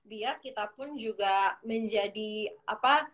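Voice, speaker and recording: A woman's voice: mid-range voice, slow, fairly bright tone. A young woman hosting an interview, attentive and polite, with frequent hmms and okays. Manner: attentive, frequent hmm and okay, politely addresses guest. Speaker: interviewer, host. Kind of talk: casual interview.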